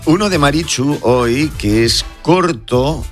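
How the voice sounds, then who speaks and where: A man's voice: a little high, animated, slow pace, faint music behind. A man from the Basque Country presenting on a radio show in casual, bantering talk. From Basque Country, Spain.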